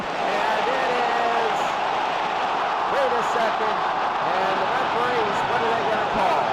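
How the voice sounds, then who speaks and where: A man doing live American football play-by-play as the announcer, in short clipped bursts that often repeat. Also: sits high. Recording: very noisy ambient amateur recording.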